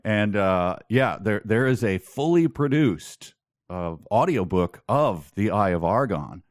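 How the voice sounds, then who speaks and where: A man with a fairly low voice co-hosts a casual podcast. The sound is close and free of room echo. He banters easily and likes playfully mock-formal turns of phrase. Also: lively intonation, slow pace. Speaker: podcast co-host. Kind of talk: casual chat, bantering with co-host.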